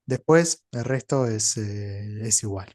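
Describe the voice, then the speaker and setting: A man's voice: on the low side, slow pace, a little mellow, no echo, studio quality. A man from Uruguay with a Rioplatense accent, a Spanish teacher who explains things in an easygoing way during a casual chat.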